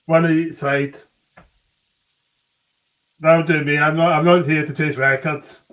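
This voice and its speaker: A man's voice: a little high, level tone, unhurried, a bit bright, far from the mic, muffled recording. A man chatting casually on a live call, hesitant and given to repeating words.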